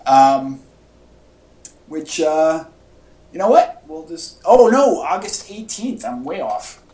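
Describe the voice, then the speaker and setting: A man's voice: fairly high pitch, lively intonation, slow pace, darkish tone. A man co-hosting a podcast in casual chat, talking informally like an enthusiastic fan. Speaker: podcast co-host. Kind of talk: casual pop-culture chat. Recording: echoey room podcast audio.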